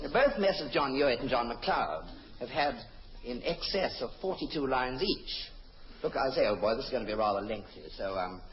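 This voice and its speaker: Genteel, hesitant announcer for vintage radio comedy, played by an man, animated intonation, dull archive sound. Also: mid-range voice, close to an everyday voice. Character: polite, fussy male announcer interrupting the show. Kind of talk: old radio comedy acting. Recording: dull old radio recording.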